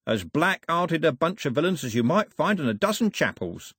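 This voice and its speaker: A man's voice: fairly high pitch, sing-song, moderate pace, a bit bright, husky, no room sound, studio quality, voice pushed up, exaggerated voice. Man voicing a swaggering villain, swooping and jeering. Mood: theatrical, jovial. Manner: theatrical, jeering, colloquial patter. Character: swaggering villainous sailor, mockingly cheerful murderer turned king.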